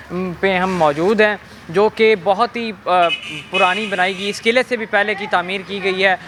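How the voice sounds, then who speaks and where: A man with a Pakistani accent presenting a walk-through documentary on location, explaining in a halting way. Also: high-pitched, a bit bright, slight background noise. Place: on location in an old fort's streets.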